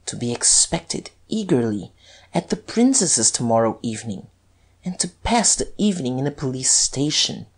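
Woman playing a taunting, vengeful male police detective, husky. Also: very deep voice, moderate pace, exaggerated voice. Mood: savage triumph. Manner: mocking, taunting, excitable. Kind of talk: dramatized audiobook reading.

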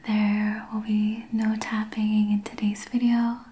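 A woman speaking in a breathy whisper, gently and soothingly guiding a healing session in ASMR style. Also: mid-range voice, completely flat delivery. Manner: slow, gentle, soothing guidance. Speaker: ASMR host, Reiki practitioner.

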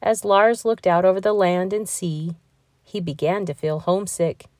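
A woman reads a children's storybook aloud in an expressive, deliberate storytelling manner. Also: lowish voice, no room sound, studio quality. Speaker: storybook reader. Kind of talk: children's read-aloud narration.

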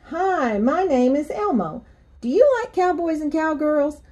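A woman voicing an eager, excitable little monster child from a storybook. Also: high voice, a little slow, pitched above the performer's own voice. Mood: friendly.

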